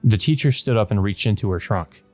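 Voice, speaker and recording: A man's voice: on the low side, fairly quick, darkish tone. A man doing a let's play, reading a visual novel's narration aloud. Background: background game music.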